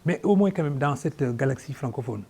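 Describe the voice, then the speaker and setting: A man's voice: fairly high pitch, expressive, brisk, vocal fry, darkish tone, husky. Man hosting a TV interview. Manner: long winding questions with hesitations, many backchannels. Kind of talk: televised interview.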